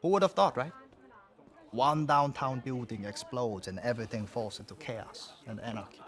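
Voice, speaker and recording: An actor playing a swaggering, foul-mouthed gang boss and arms dealer in a video game cutscene. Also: male voice, medium pitch, normal speed, close to an everyday voice. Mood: cocky, amused.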